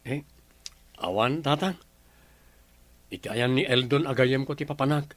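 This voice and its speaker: Man playing a young Ilocano grandson, polite, earnest, mid-pitched voice, radio drama. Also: measured pace, no room sound, natural voice. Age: young adult. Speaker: grandson and boyfriend character.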